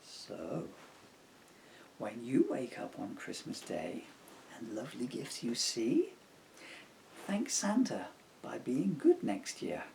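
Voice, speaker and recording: A man reading his own rhyming children's book aloud as an expressive storyteller. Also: mid-range voice, slow pace, gravelly, long pauses, a little hiss or hum.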